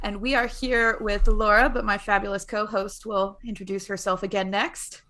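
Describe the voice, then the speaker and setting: A woman's voice: medium pitch, fairly even intonation, normal speed, pushed and bright. A woman hosting a podcast in a casual style. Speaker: podcast host.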